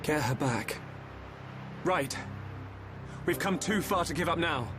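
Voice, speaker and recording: A determined young hero. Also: male voice, on the high side, lively intonation, takes it slowly, over music. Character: thoughtful determined young man. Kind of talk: video game cutscene acting.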